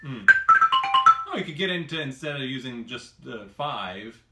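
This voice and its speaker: A man's voice: medium pitch, lively intonation, moderate pace, over loud music. A man in his thirties teaching xylophone at home, musing aloud with hesitant fillers as he demonstrates. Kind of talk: casual demonstration lesson. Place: home kitchen, indoors. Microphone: camera across the room. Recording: roomy kitchen sound from a distance. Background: xylophone playing.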